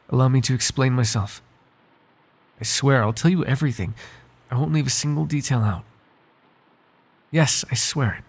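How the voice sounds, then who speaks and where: Some guy doing a soft spoken butler for asmr, raspy n creaky, super polite, kinda flirty, stumbles over his words. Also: medium pitch, full character voice. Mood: apologetic, earnest. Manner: soft-spoken, polite formal diction, hesitant and halting. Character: devoted, flirtatious male butler, secretly a lost prince. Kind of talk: ASMR roleplay voice acting, intimate one-sided dialogue.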